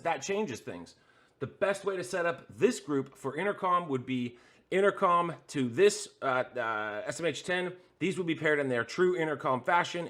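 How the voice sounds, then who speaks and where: A man with a fairly high voice walks through a demonstration like a tutorial host. He goes step by step, points at each device as he explains it, and fills his pauses with frequent "uh"s. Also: fairly bright tone. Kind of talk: how-to tutorial explaining gear setup.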